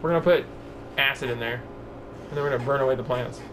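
A man's voice: medium pitch, quick pace. A man hosting a casual video game playthrough, chatting in jokey, silly slang and mild mock insults over game ambience. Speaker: gaming channel host, player. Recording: noisy, game sound behind voice. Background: horror video game ambience.

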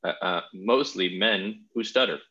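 A man in his thirties hosting a podcast interview over a video call, speaking in a measured way with small hesitations. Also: deep voice, bright, forceful tone, slightly rough, lo-fi sound. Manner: slow, measured, with filler hesitations. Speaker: podcast host. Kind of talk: interview questions. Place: home room. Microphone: over-ear headphones on a video call.

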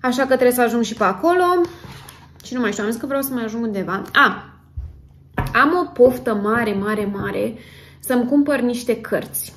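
Vlogger, female, 30s, fairly high voice. Casual, chatty, exclamatory, self-dramatizing talk to camera. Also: fairly bright tone, a little hiss or hum. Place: home kitchen, indoors.

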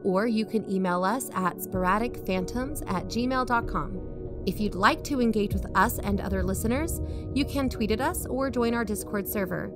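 A woman playing an excitable amateur investigator who hosts a podcast, in a scripted audio drama. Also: mid-range voice, moderate pace, over music, lightly acted. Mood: upbeat, informative. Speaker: podcast host.

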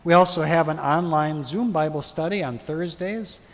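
A middle-aged man leading prayers and a blessing as pastor, reading in a measured, solemn way in a large church. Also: fairly high pitch. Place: large brick church sanctuary. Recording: long church reverb.

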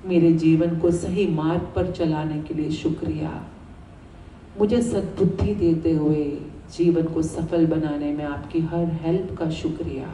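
An Indian-accented woman guides a gratitude meditation in a low, unhurried voice. She repeats soothing affirmations deliberately, leaving space between phrases. The recording has a roomy echo, as if the mic is at some distance. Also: warm tone, slight background noise.

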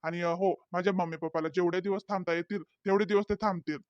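Guy doing a sly cartoon husband, kinda high voice, dry close mic. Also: fairly even intonation, fast talker, no echo, lo-fi sound, lightly acted. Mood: wheedling. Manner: talkative, wheedling, hesitant fillers. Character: young husband, sly and teasing. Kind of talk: comedy cartoon voice acting.

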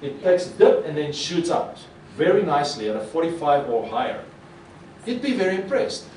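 Middle-aged man, Afrikaans speaker, animated conference talk, roomy hall, voice a little distant. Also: on the high side, moderate pace, slight background noise. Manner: animated, gestures a lot, acts out quoted reactions. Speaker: conference presenter. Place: conference hall with projector screen. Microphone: podium mic. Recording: echoey hall, mic a bit far.

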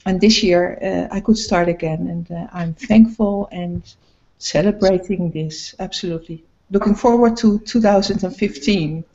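A middle-aged woman painter speaking slowly and hesitantly about her paintings, with many pauses, on a video call. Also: fairly low pitch, dark tone. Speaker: painter guest. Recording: muffled video call audio.

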